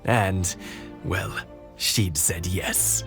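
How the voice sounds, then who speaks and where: An actor playing a gentle elderly husband in a video game, speaking in an unhurried, reminiscing way with small pauses. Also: male voice, sits low, steady tone, vocal fry, exaggerated voice. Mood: fond, nostalgic. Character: gentle elderly husband, fondly nostalgic family man. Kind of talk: video game English dub dialogue. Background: background music.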